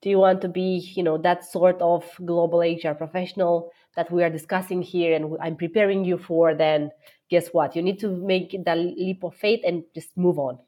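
A woman's voice: on the low side, steady tone, normal speed, muffled recording. A middle-aged woman from Romania, a guest executive answering interview questions with enthusiasm, many fillers and restarts.

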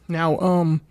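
Higher-voiced American man hosting an interview. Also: a little mellow, dry recording. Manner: informal, slangy, conversational. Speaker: interviewer, show host. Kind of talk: casual interview.

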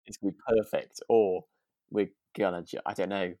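A man's voice: low voice, steady tone, normal speed, dark tone, no echo. A British man co-hosting a podcast, casually recapping and often rephrasing as he goes. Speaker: podcast co-host. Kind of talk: casual chat about a radio drama.

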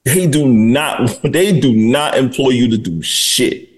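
A man's voice: medium pitch, animated, takes it slowly. Blunt man in his forties. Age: 40s. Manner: blunt, candid, swears freely. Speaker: podcast host. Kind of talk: casual podcast conversation.

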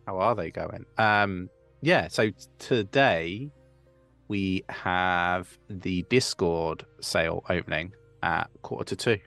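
Low gravelly guy chatting on a crypto stream, soft music under. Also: takes it slowly, no room sound. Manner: relaxed, chatty, reads live chat questions. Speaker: co-host. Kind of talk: casual crypto livestream chat.

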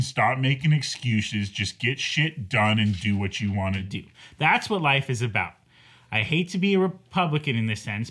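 Lively brash man on a podcast. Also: mid-range voice. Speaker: podcast co-host reading questions. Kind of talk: casual podcast chat.

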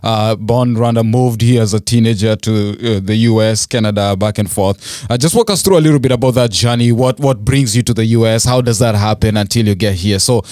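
A man hosting a podcast interview, asking questions in an animated way with frequent restarts and fillers. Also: fairly low pitch, fairly quick, hardly any pauses, no room sound, studio quality. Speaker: podcast host. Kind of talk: interview host.

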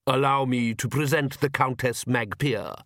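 A man's voice: medium pitch, a little slow, fairly bright tone, dry recording, clean studio sound, natural voice. A man voicing a genteel, enthusiastic older vampire count in an audiobook, formal and courtly in manner. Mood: eagerly gracious. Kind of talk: audiobook character voice.

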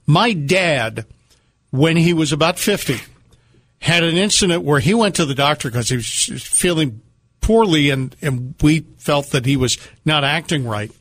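A man's voice: on the high side, lively intonation, measured pace, fairly bright tone, dry recording. A man co-hosting a radio show, telling stories conversationally with a jokey, dryly teasing manner. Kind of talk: conversational radio talk with storytelling. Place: radio studio.

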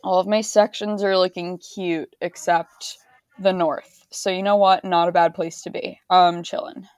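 A woman's voice: lowish voice, little pitch movement, unhurried, darkish tone, no echo. A young woman co-hosting a casual sports podcast, laid-back and wry, and losing her voice. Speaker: podcast co-host.

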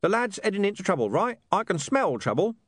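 A talking stray dog for a storybook, high-pitched and very animated. The mutt is cheeky, sardonic and out for money, talking in dismissive street slang. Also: male voice, fairly quick, no echo, studio quality. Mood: sardonic, pushy.

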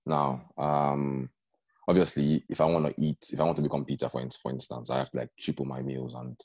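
A young man with a very deep voice, telling personal stories in an earnest, conversational way and often asking "do you know what I mean". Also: lively intonation, a little fast, gravelly, darkish tone, slightly rough, no room sound. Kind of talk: casual group chat.

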